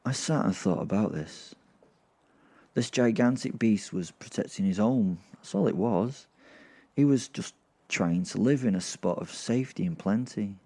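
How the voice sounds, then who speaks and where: A man's voice: on the low side, expressive, measured pace, dark tone. A man telling a story as podcast host, in an informal, rambling way. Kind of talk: first-person dramatic fantasy narration.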